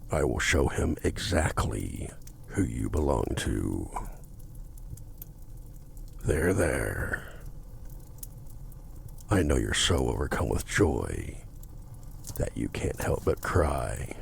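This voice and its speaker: Very deep, gravelly possessive demon. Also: male voice, slight background noise, exaggerated voice. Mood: mock soothing, controlling. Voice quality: very deep, gravelly, breathy at times. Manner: slow, formal, theatrical, commanding. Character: possessive male demon, courtly, menacing and seductive. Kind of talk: ASMR roleplay acting.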